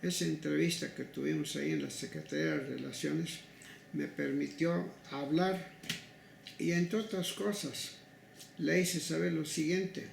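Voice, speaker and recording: An older man with a Mexican accent, recounting past cases in an interview in a slow, halting, deliberate way. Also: on the high side, steady tone, gravelly. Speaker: interviewee, human rights legal advocate. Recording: echoey room with faint noise.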